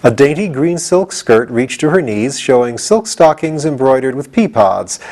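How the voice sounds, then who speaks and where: Animated man narrating a storybook. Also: medium pitch. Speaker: audiobook reader.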